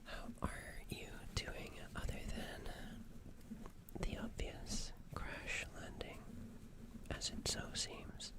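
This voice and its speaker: A performer playing a gentle, nervous alien in a whispered ASMR roleplay, speaking in a breathy, hesitant, stammering and soothing way. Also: slow pace, lightly acted. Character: gentle, nervous alien caring for an injured human.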